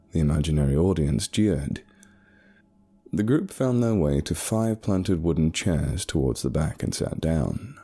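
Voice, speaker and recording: Male horror narrator: low, husky, suspenseful delivery with music underneath. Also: mellow. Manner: measured, suspenseful, short pauses. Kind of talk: scripted horror narration, first person. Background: eerie background music.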